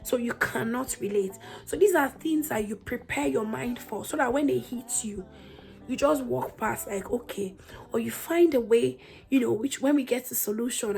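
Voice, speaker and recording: A woman's voice: fairly high pitch, a little mellow. A woman with a Nigerian accent talking candidly to her viewers in a vlog, emphatic and direct, often putting rhetorical questions to them. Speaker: senior nurse and vlogger.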